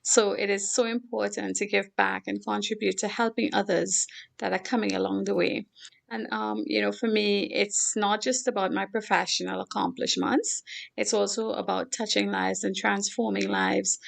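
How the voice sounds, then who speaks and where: Gravelly middle-aged woman with Trinidadian accent. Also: mid-range voice, fairly even intonation, moderate pace. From Trinidad and Tobago. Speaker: show guest, philanthropist. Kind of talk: conversational interview talk.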